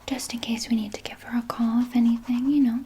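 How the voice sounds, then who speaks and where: A woman playing a soothing, evasive vampire nurse in a soft, breathy ASMR whisper, gently coaxing and sweetly sinister. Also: on the high side, little pitch movement, fast, slight background noise, natural voice. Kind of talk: whispered ASMR personal attention roleplay.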